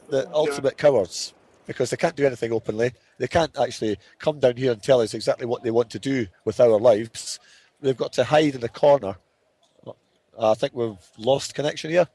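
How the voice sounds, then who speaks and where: Male host, mid-pitched voice, casual live commentary, halting delivery, repeated phrases. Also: darkish tone.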